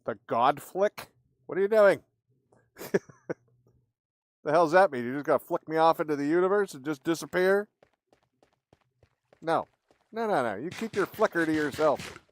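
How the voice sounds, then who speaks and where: A man's voice: fairly high pitch, animated, moderate pace, pauses often, no room sound. A man livestreaming a game, chatting casually and in a rambling way with his viewers. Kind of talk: casual live game-stream chat. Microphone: streaming microphone with gain maxed out. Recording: clear background noise.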